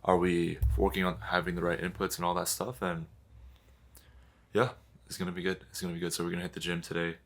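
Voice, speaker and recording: A man's voice: sits low, level tone, quick pace. A twenty-year-old man talking casually to camera in a vlog, laid-back, swearing freely and calling people bro. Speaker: vlogger and business owner.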